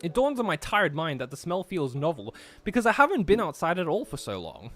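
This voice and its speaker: A man's voice: on the high side, wildly swooping pitch, fairly quick, fairly bright tone. A man reading a visual novel's narration aloud on a casual game stream and bantering between passages.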